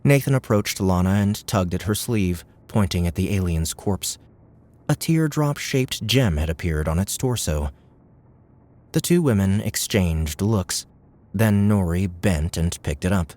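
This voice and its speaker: A man narrating a scripted audiobook novel with measured, dramatic storytelling. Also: low voice, expressive, darkish tone.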